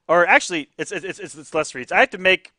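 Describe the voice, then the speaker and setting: A man hosting and commentating a fighting-game tournament live, excitable, laughing often and tripping over repeated words. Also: sits high, a little fast, no echo, studio quality.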